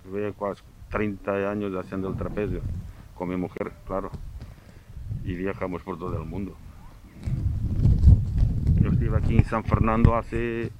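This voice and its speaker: A man's voice: low-pitched, steady tone, normal speed, pauses often, muffled recording, very noisy. A man in his sixties from Portugal, a circus presenter, giving earnest interview answers and often repeating himself.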